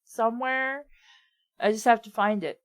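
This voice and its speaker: A woman with a high voice, captured close and dry. She chats casually and jokingly as part of a comedic read-along. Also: fairly even intonation, moderate pace, dry recording. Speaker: reader on a bad fanfiction show.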